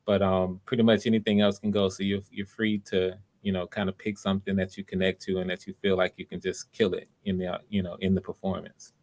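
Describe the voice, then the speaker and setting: A man with a fairly low voice talks as the host of an online audition. His delivery is casual and encouraging, and he circles back to rephrase his points over a video call. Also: little pitch movement, brisk, few pauses, a little mellow. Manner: encouraging, repeats and rephrases himself. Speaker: writer-director hosting live auditions. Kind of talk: casual unscripted hosting talk.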